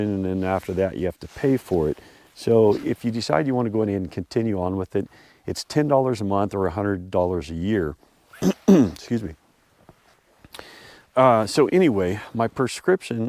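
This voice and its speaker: A man hosting a vlog outdoors, a bit congested and hoarse from a cold, rambling casually with lots of fillers. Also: fairly low pitch, a little mellow, no echo. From US Pacific Northwest. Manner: rambling, many fillers and restarts. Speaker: vlog host reviewing his truck. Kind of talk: casual talk to camera. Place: outdoors at a snowy off-road area.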